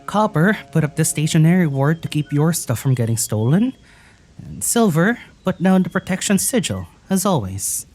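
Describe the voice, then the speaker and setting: A woman playing a weary, wry young traveller, recounting things in a halting, husky voice in an audio drama. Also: low-pitched, big pitch swings, a little mellow, faint music behind. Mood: subdued recounting.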